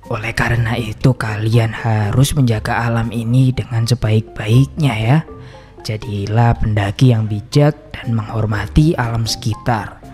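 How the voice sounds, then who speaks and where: A man voicing a stern older mountain post guard, who talks slowly and gives fatherly warnings. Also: medium pitch, darkish tone, lightly acted. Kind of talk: animated horror story dub.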